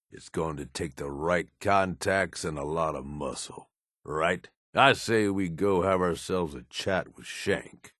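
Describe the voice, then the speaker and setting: A man's voice: low-pitched, fairly bright tone, no echo, clean studio sound. A man playing a cynical, pragmatic raider right-hand man in a video game, blunt and sardonic in folksy American speech. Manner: folksy tough-guy drawl, blunt and sardonic. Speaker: game character voice actor.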